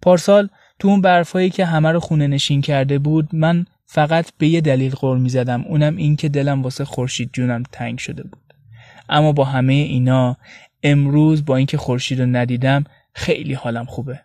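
Mellow man narrating in a roomy echo. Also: medium pitch, steady tone. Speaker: podcast narrator. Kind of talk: expressive scripted narration of intimate prose. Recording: roomy echo, faint music behind.

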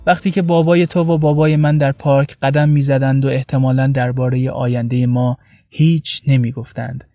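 A man's voice: mid-range voice, mellow, studio quality. A man reading scripted, intimate prose expressively, with a tender and wistful delivery. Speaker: podcast narrator. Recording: roomy echo, faint music behind.

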